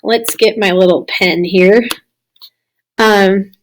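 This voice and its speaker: A woman with a slightly raspy voice, recovering from losing it, giving a casual recorded slideshow lesson as a science teacher. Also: medium pitch, fairly even intonation, slow pace. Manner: casual, explanatory, addressing students. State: recovering from lost voice.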